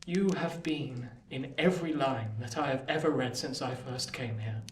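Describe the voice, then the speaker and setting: A man playing an earnest, lovelorn young gentleman in a radio play, speaking formally and with pleading passion. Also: mid-range voice, normal speed, natural voice. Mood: impassioned. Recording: echoey, distant, noisy room.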